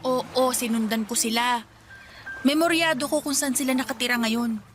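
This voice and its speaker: A woman acting out Sonia, a young woman who talks a lot and takes charge with a playful edge. Her voice sits a little high, with music running under the dialogue. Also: normal speed, a bit bright, close to an everyday voice. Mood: scheming. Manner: talkative, bossy, playful. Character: Sonia, chatty bossy young woman friend. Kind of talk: radio drama acting.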